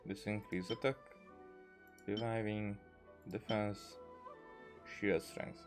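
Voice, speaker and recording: A man giving casual gameplay commentary in a hesitant, halting way, as if thinking aloud. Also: fairly low pitch, fairly even intonation. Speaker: gaming video creator. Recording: game music behind the voice. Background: video game music.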